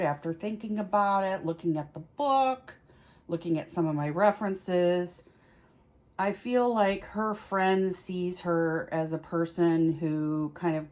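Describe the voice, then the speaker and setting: Female tarot host, somewhat low pitch, unhurried pace, conversational and casually explanatory. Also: darkish tone. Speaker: tarot reader and video host. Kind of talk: casual explanatory chat to camera.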